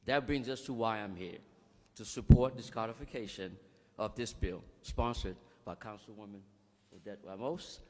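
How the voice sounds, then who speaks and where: A man's voice: on the low side, moderate pace, long reverb tail. A man who runs a nonprofit, giving a formal public speech at a ceremony and stopping now and then to correct himself. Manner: formal speech with frequent restarts and thank-yous. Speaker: executive director of a nonprofit. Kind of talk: public speech at a bill signing.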